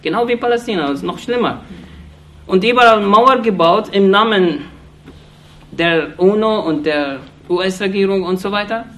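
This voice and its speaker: High-voiced man speaking urgently. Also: fairly bright tone. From Iraq. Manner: halting non-native German, frequent restarts and fillers; earnest and accusatory. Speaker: speaker addressing an audience. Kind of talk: impassioned political speech.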